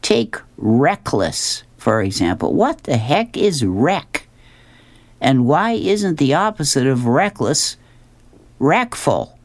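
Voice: mid-range voice, very animated, takes it slowly. A performer reading a puzzled old man from a comic strip, musing aloud on a park bench.

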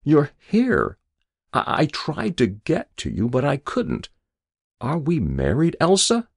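A man voicing a sick middle-aged husband waking groggily, halting, confused and wistful, in a narrated story. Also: a little high, huge pitch swings, gravelly, breathy edge, no room sound, clean studio sound, pitched above the performer's own voice, heavily acted. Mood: confused, pleading.

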